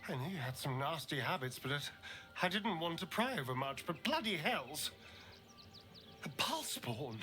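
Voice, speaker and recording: An actor playing a flamboyant, wry vampire rogue in a video game, with an arch, teasing, theatrical manner. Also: male voice, a little high, very animated, normal speed, bright, forceful tone, faint music behind. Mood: wry concern. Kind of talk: video game companion dialogue.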